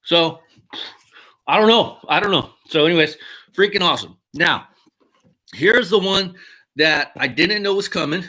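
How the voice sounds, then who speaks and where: A man in his thirties or forties chats casually and excitedly, his voice bright and fairly high. He swears offhandedly and keeps calling things awesome, like a collector vlogging his latest finds. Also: measured pace, long pauses. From Mexican heritage. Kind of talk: casual unboxing chat.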